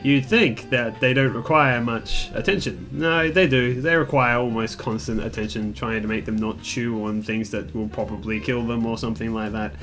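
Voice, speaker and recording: A young man telling a personal story in a casual, rambling, candid way, with mild swearing and self-deprecation. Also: medium pitch. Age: young adult. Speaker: gaming video creator telling a personal story. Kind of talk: casual storytime chat over gameplay. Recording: music behind the voice. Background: calm guitar music.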